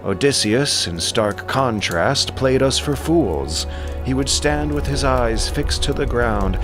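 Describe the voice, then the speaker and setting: An actor playing a wise, measured royal counselor, an elder statesman reminiscing in an epic audio drama. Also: medium pitch, close to an everyday voice.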